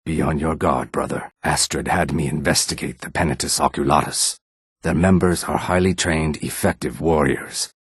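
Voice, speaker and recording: Very deep, husky lizard-man assassin. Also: steady tone, moderate pace, no echo, clean studio sound, exaggerated voice. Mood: calm, cautionary. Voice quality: husky, rasping. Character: low-voiced male reptilian Argonian assassin and outlaw. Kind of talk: video game character dialogue.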